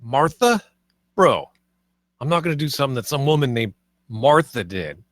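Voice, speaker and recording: A man's voice: mid-range voice, big pitch swings, normal speed, no room sound, studio quality, close to an everyday voice. A man playing a cocky, crude defendant in a comedy script table read, brash and slangy. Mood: defiant. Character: cocky, crude young man awaiting murder trial.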